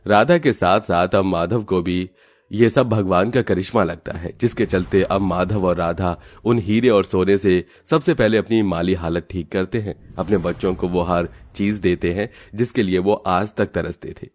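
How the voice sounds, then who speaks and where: A man narrates an animated moral story in a steady, even storytelling manner. His voice sits low for a man. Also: moderate pace.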